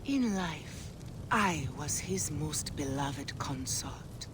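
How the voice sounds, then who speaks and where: A woman voicing a regal ghostly queen in a game cutscene, speaking in a breathy, whispery, solemn way. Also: low-pitched, lively intonation, very slow, noisy background, heavily acted. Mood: whispering. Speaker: game character. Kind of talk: video game cutscene acting.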